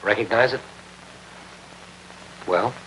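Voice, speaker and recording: A man's voice: fairly low pitch, slow, a bit bright. A middle-aged American man playing a hard-boiled newspaper reporter in a 1950s TV drama, terse, blunt and sardonic. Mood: probing. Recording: noisy old 1950s television soundtrack.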